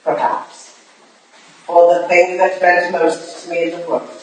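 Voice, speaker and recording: A woman's voice: fairly low pitch, completely flat delivery, measured pace, natural voice. Lady as stern older english madam in a play, imperious n dry, echoey distant recording. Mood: proud resignation. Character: stern, proud, aging English lady mentor. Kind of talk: staged drama reading. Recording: echoey hall, distant mic, faint noise.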